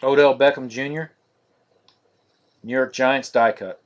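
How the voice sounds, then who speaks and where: Male card-break host, mid pitch, flat steady delivery, live-stream feel. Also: unhurried, dull audio. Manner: monotonous, steady listing of cards.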